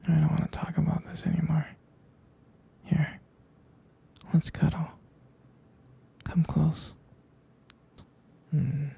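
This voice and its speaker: Casting: male actor for possessive young boyfriend, ASMR roleplay. Soft, mellow whisper heavy with vocal fry, halting and pleading. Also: mid-range voice, full character voice. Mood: tender, nostalgic. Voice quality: breathy, husky whisper with gravelly creak. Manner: slow, halting, intimate, softly pleading. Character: possessive, tender, jealous young boyfriend comforting his sweetheart.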